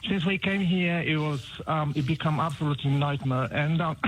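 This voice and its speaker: A man's voice: fairly high pitch, steady tone, moderate pace, slight background noise, poor audio. A man from Poland with a Polish accent, calling a radio phone-in, nervous and hesitant with many ums. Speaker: caller. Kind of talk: phone-in call.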